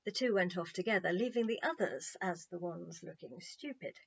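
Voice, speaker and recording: A woman's voice: on the low side, moderate pace, vocal fry, lo-fi sound, slight background noise. A woman narrating a children's story expressively, in a playful, wry, conversational way.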